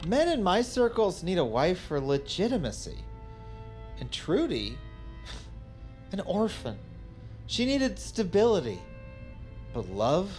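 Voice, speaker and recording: Cold doctor with animated pitch. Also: male voice, faint music behind, heavily acted. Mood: dismissive. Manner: dismissive, halting, accusatory. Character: cold, self-important male doctor, resentful and dismissive. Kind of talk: audio drama acting.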